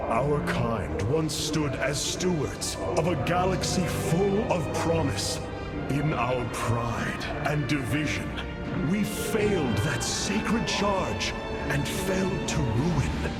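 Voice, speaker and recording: A man playing a noble, resolute alien commander in a video game, speaking formally and solemnly like an orator. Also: a little high. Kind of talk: dramatic video game voice acting. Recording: loud orchestral music behind the voice.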